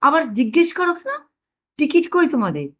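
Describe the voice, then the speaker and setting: A woman's voice: high voice, sing-song, a little fast, voice pushed up. A woman voicing a blustering, scheming older family man in a comic audio story, exclaiming and sure of himself. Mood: bossy.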